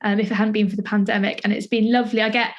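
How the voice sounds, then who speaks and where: A woman hosts an arts podcast. She speaks at a middling pitch, in the manner of someone presenting and interviewing. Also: level tone, racing, muffled recording. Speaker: podcast host and interviewer, playwright. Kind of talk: scripted introduction, then conversational interview.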